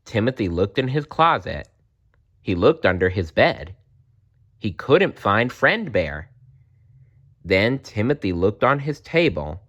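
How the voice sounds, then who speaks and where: Low-voiced man reading a children's story, unhurried. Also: very animated, fairly bright tone, dull audio. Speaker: storybook reader. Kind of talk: expressive children's read-aloud narration.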